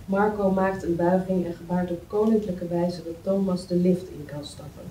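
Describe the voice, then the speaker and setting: Low, mellow woman reading stage directions, roomy. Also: fairly even intonation, moderate pace. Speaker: script reader of stage directions. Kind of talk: scripted narration, screenplay table read. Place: meeting room, indoors. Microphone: far camera. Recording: roomy, distant mic, faint noise.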